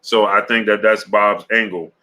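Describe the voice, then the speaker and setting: A man's voice: lowish voice, fairly even intonation, normal speed, pushed and bright. A man hosting a live-stream boxing show, greeting viewers in the chat and talking through his views with many fillers and restarts, often checking in with "right?". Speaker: sports show host.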